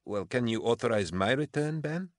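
A man's voice: mid-range voice, expressive, moderate pace, no room sound, studio quality, pitched above the performer's own voice, close to an everyday voice. A man voicing an exhausted, foul-mouthed female military officer for an audiobook, blunt and pugnacious, with a sardonic edge. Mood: weary, snappish. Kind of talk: audiobook character acting.

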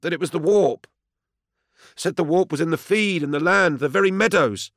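A man's voice: fairly high pitch, normal speed, a bit bright, no room sound, studio quality, pitched above the performer's own voice, natural voice. A man voicing a frightened, cringing farmer on trial in an audiobook, deferential, anxious and pleading as he answers. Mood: desperate. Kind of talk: audiobook character acting.

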